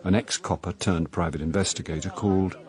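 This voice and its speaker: An actor playing a wry middle-aged actor turned amateur sleuth, narrating a radio drama in a dry, sardonic way. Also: male voice, deep voice, fairly even intonation, fairly quick, lightly acted. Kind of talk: radio drama, first-person narration and dialogue.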